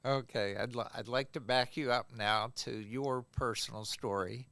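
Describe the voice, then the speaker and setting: Gravelly, smooth-voiced male host. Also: medium pitch, steady tone, fairly bright tone, dry recording. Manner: unhurried, conversational questioning. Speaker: podcast host. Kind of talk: podcast interview.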